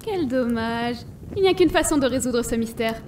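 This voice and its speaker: A woman's voice: a little high, animated, moderate pace, noisy background, lightly acted. A woman playing a cruel, paranoid teenage princess in a cartoon fan dub, commanding and contemptuous, recorded at some distance. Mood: furious, commanding. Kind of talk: animated series fan dub.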